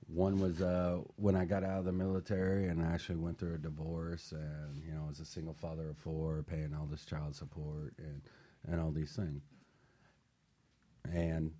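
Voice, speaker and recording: Deep, mellow-voiced man telling stories. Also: level tone, normal speed. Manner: laid-back, many fillers and restarts. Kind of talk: personal storytelling on a talk show.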